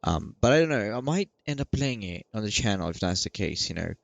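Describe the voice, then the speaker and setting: A man with a lowish, creaky voice giving casual gaming commentary. He rambles and halts, circling back over his words, in a dry close recording. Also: big pitch swings, no echo, lo-fi sound. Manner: rambling, halting, repeats himself. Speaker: gaming video creator. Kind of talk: casual let's play commentary.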